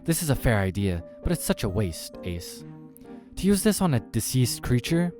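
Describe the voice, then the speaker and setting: A man's voice: medium pitch, very animated, moderate pace, music in the background, pitched above the performer's own voice, close to an everyday voice. A man voicing a menacing, predatory female eldritch creature from a visual novel, with commanding, formal, alien phrasing. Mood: haughty. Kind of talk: acted visual novel dialogue.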